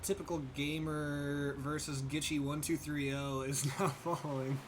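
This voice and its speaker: A man's voice: fairly high pitch, little pitch movement, unhurried, music in the background. A young man livestreaming a driving game, chatting casually, thinking aloud and thanking viewers by name. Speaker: streamer. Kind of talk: casual livestream chat while gaming.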